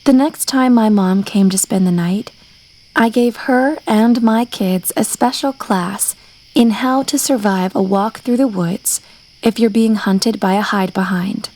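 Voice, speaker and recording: A woman narrates a scary story from a script. Her voice is very mellow and relaxed, sitting at an average pitch for a woman. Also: unhurried, poor audio.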